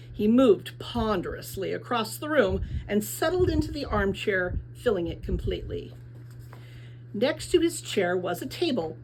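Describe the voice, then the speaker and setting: A woman reading a children's novel aloud as expressive scripted narration. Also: medium pitch, slight background noise, rough recording. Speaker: audiobook reader.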